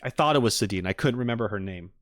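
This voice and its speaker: A man's voice: lowish voice, quick pace, dry recording. Dry, close-sounding man chatting on a stream. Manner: rambling, expressive, thinking aloud. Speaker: streamer reacting to game videos. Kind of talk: casual livestream chat, reaction commentary.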